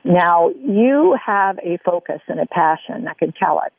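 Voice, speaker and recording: A woman hosts a radio interview in a chatty, rambling way. Her medium-pitched voice has a lot of creak and gravel, and it comes through tinny and narrow, like a phone. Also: animated, unhurried, no echo. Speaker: radio show host.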